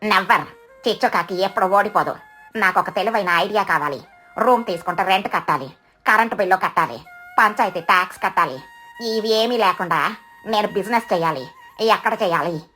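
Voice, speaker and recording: Woman as a bright, brash mother crow. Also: mid-range voice, a little fast, soft background music, close to an everyday voice. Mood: determined. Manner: dramatic, brash, scolding. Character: feisty, boastful mother crow street-food seller.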